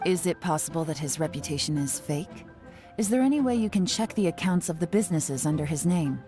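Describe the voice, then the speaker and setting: Cool spy woman, low voice, calm and measured, soft music behind. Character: cool, composed female intelligence agent. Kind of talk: video game voice acting. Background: game music.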